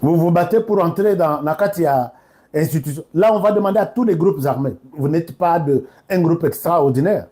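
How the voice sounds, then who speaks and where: A man's voice: on the high side, normal speed, dark tone. A man from DR Congo with a Congolese accent, giving informal, emphatic political commentary that addresses listeners directly and switches between two languages.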